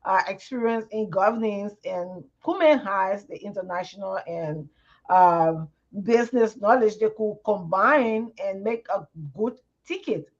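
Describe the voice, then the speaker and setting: A woman's voice: medium pitch, a little slow. A woman from Liberia with a Liberian English accent, a panelist in a live TV discussion, speaking earnestly and often repeating words as she searches for them. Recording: muffled lo-fi broadcast audio.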